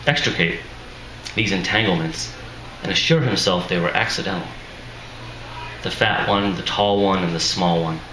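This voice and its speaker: A man, an author, reading his fiction aloud at a live event in a measured, deliberate way. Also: low-pitched, sharp tone. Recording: echoey room with faint noise.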